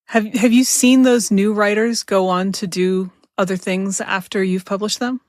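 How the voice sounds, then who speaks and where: A woman's voice: medium pitch, fairly even intonation, moderate pace, darkish tone, dry recording, studio quality. A woman hosting a casual conversational interview, putting questions to her guest. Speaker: podcast host, interviewer.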